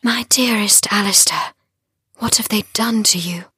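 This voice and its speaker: A woman's voice: medium pitch, measured pace, pushed and bright, breathy edge, dry recording, voice pushed up, heavily acted. A woman voicing an anguished female monster in love, pleading and dramatic, in a gothic story. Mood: tender whisper.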